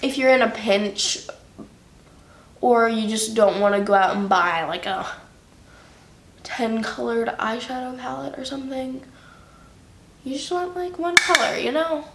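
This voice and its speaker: Young female beauty vlogger, slow chatty delivery, a bit nasal, roomy echo and faint hiss. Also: on the high side, a bit bright. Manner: chatty, filler-heavy, unhurried. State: slightly nasal from a cold. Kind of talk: casual makeup tutorial. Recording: echoey room with faint noise.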